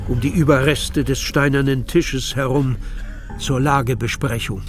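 A man narrating a fantasy radio play as a measured, grave storyteller. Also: medium pitch, steady tone, faint music behind, natural voice. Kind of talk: scripted narration.

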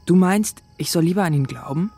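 A man's voice: sits high, brisk, dark tone, slightly rough, soft background music. An actor playing a laconic, unsure teenage boy in a German radio play.

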